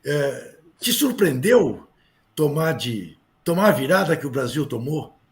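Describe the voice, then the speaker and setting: Brazilian man hosting a football talk show, calm and chatty, voice a little high. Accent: Brazilian Portuguese. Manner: measured, conversational, corrects points calmly. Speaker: sports show host. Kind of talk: studio debate moderation.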